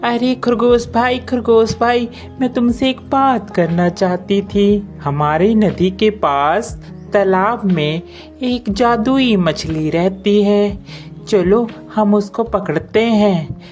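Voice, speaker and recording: Man putting on a high-pitched pleading female fox. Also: takes it slowly, darkish tone, soft background music. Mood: friendly, eager. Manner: pleading, urgent.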